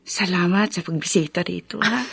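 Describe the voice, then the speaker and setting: Radio drama role: bereaved, well-off Filipina from the countryside, weary and emotional, husky voice, dry studio sound. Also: female voice, lowish voice, normal speed, a bit bright, no echo, exaggerated voice. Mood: heavy-hearted, grateful. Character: grieving, weary woman from a well-off rural family.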